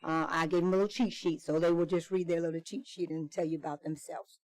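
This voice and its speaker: A woman's voice: lowish voice, steady tone, fast, darkish tone, no room sound. A woman speaking for a committee in an informal public presentation, repeating and rephrasing for emphasis. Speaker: committee spokesperson. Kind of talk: informal public introduction speech.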